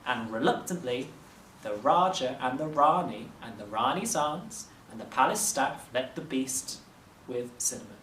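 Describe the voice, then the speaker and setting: Bloke reading a kids story aloud, dramatic measured phrasing, echoey room, faint noise. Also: male voice, mid-range voice, animated, a bit bright. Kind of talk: expressive children's story narration. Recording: roomy, distant mic, faint noise.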